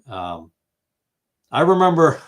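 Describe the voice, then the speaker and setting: A man's voice: on the high side, sing-song, slow pace. A man in his fifties from Massachusetts hosting a casual online fellowship chat, rambling and thinking aloud with lots of fillers while he responds to viewers' comments. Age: 50s. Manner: reacts to viewers' comments as he goes. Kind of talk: casual livestream group conversation.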